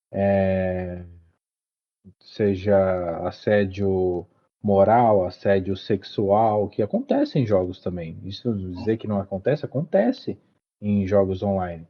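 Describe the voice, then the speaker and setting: A man co-hosting a casual podcast chat, talking hesitantly with many restarts. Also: on the low side. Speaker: podcast co-host. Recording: dull lo-fi recording.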